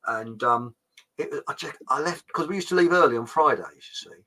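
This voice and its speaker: A middle-aged man chats casually, laughing often as he rambles through stories. His voice is very bright and forward, and his pitch swings widely. He swears lightly and keeps the tone conversational. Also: lively intonation, moderate pace. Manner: rambling anecdotes, frequent laughs, mild swearing. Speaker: podcast host. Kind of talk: casual storytelling chat.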